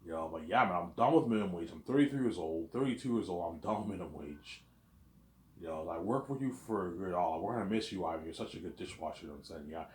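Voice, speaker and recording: A man's voice: low voice, lively intonation, fast, darkish tone, echoey room, poor audio. A man in his thirties from Quebec, rambling in a casual monologue and acting out imagined talks. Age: 30s. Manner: rambling, repeats himself, acts out both sides of imagined talks. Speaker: vlogger.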